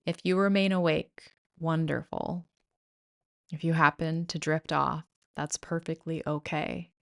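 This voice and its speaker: A woman guiding a yoga nidra meditation in a soothing, gentle, measured way with pauses. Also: sits low, steady tone, gravelly. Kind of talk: guided meditation narration.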